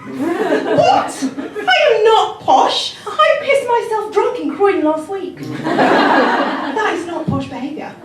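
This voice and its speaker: A woman's voice: extremely high pitch, sing-song, slow pace, heavy background noise. A woman from Jamaica performing a stand-up comedy set, wry and self-mocking, laughing at her own lines. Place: comedy club stage. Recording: echoey club from far off. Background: audience laughter.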